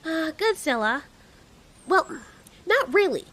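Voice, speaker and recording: A giant female moth monster rules with testy pride, as a woman acts her out. Her voice is highish and very animated, emphatic and exasperated, in a story drama. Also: slow pace, heavily acted. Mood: annoyed, exasperated.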